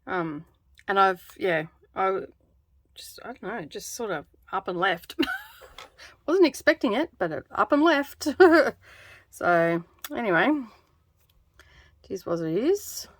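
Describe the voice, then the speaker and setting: A woman chatting in a relaxed, rambling way while she stitches for her craft vlog. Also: mid-range voice, takes it slowly, long pauses, dry recording. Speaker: craft vlogger. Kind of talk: casual chat while stitching.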